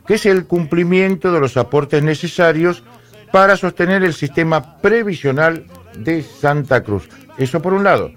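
A man hosting a radio news segment in a studio, paraphrasing statements and leaning on fillers. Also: fairly high pitch.

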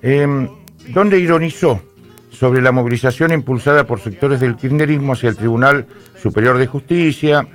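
A man's voice: medium pitch, normal speed. Man, radio news host, fillers like "este", faint background music. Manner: reads and paraphrases statements with fillers like "este". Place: radio studio.

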